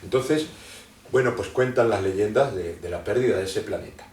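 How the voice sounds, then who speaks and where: Man, interview guest, low and rambling, hesitant, roomy far-off mic. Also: moderate pace, a little mellow, slight background noise. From Argentina. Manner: rambling and explanatory, many hesitations and restarts. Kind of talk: conversational interview, lecturing. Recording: roomy sound from a distant mic.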